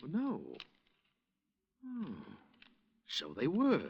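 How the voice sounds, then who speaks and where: A man's voice: sits high, huge pitch swings, extremely slow, husky, no echo, exaggerated voice. An actor playing a fussy, nervous male insurance broker in a 1950s radio drama, hesitant and eager to agree. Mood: puzzled, confiding. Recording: dull vintage radio recording.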